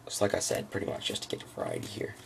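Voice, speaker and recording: A man with a froggy, gravelly voice giving a casual, unscripted walkthrough of trading cards, halting as he thinks aloud. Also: slight background noise. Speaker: trading card hobbyist presenting a deck.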